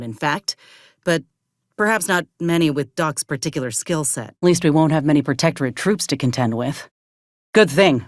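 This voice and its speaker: A woman's voice: low voice, no echo. An actress playing a plainspoken, stubborn woman soldier in a video game, with folksy, dry, blunt phrasing. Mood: measured, determined. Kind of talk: video game character dialogue.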